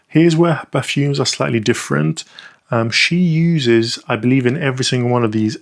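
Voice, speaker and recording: A man reviewing perfume samples in a casual, chatty way, thinking aloud with lots of ums and small restarts. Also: medium pitch. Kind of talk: casual first-impressions review.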